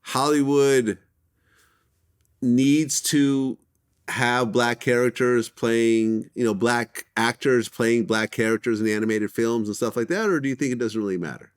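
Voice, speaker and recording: A man's voice: medium pitch, steady tone, a little slow, clean tone, dry recording, studio quality. An American man working as an interviewer, asking questions and commenting in a casual, conversational style.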